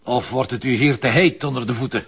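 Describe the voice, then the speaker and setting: A man's voice: medium pitch, fairly quick, creaky, fairly bright tone, breathy edge, exaggerated voice. A man acting as a stern, impatient, sarcastic Flemish police commissioner interrogating a suspect in a crime drama. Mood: sardonic. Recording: dull, muffled audio.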